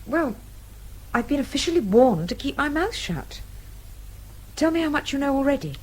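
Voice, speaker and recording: Lively high-voiced young Englishwoman. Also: normal speed. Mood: earnest. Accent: British. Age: young adult. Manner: well-mannered, earnest. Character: polite, plucky young Englishwoman abroad. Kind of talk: radio drama acting.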